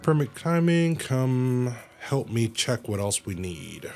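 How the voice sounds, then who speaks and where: A man's voice: medium pitch, very animated, slow, darkish tone. A man livestreaming a game, casually reading dialogue aloud and reacting in slang, sometimes stumbling and correcting himself. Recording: game music behind the voice. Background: video game music.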